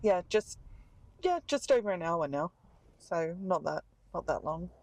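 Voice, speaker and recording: A woman's voice: fairly low pitch, expressive, measured pace, a little mellow. An Australian woman in casual, informal conversation in a car, with a dry, joking manner. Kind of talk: casual chat. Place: inside a car being driven. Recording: clear road noise inside moving car.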